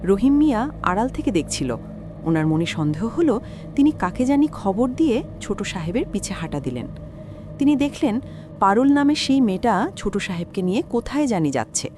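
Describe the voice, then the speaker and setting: Female storyteller for scripted horror tale: mid-pitched, very animated, clear background noise. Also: normal speed. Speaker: narrator.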